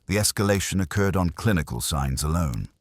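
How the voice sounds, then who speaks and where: A man's voice: deep voice, animated, fairly quick, dry recording. A man voicing a careful, guilt-burdened doctor in a mystery audiobook, formal and resigned in manner. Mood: reluctant confession.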